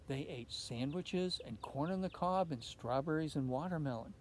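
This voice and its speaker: An older man reading a picture book aloud outdoors in an expressive way, putting questions to young listeners. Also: fairly high pitch, moderate pace. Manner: expressive, asks tag questions. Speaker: storybook reader. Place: outdoors in a leafy fenced yard. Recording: outdoor recording with faint ambient noise.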